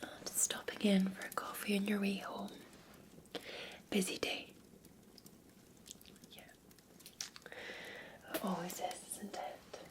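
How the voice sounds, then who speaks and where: Whispering Scottish woman, soft ASMR barista. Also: mid-range voice, little pitch movement. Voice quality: breathy whisper. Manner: gentle, unhurried, soothing. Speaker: ASMR performer playing a café barista. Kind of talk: ASMR roleplay, softly talking to the listener as a customer.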